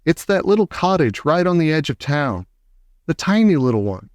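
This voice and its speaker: A man's voice: a little high, sing-song, moderate pace, no echo, clean studio sound, voice pushed up. A man voicing a sweet, wide-eyed little boy in a storybook, earnest and exclamatory. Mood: worried, earnest.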